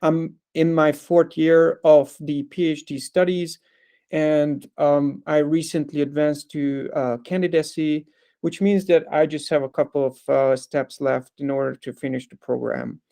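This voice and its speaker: Man, gravelly, slightly high, mellow tone, video-call lecture, hesitant fillers. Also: steady tone, unhurried. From Hungary. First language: Hungarian. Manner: hesitant, with frequent ums, uhs and likes. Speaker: guest speaker. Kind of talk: online lecture.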